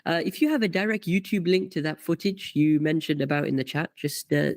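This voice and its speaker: Brief: male host, raised pitch, gravelly fry, dry close sound, casual live-stream chatter. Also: fairly quick, dry recording. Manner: chatty, many fillers and restarts. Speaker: karting coach hosting a live session. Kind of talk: live-stream chat, reading comments.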